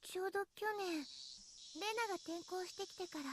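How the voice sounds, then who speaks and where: A woman's voice: very high voice, steady tone, rapid-fire, very noisy. A woman voicing sweet, coy, childlike schoolgirls in a Japanese anime visual novel. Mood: hesitant, gentle. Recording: loud game music behind the voice. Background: loud game music.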